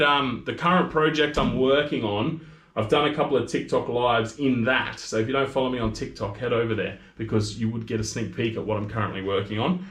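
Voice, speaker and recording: A man's voice: mid-range voice, a bit bright, reverberant. An Australian man in his thirties chatting casually on a livestream, rambling between topics and reading out viewer comments, picked up by camera audio in his workshop. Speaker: maker hosting a livestream.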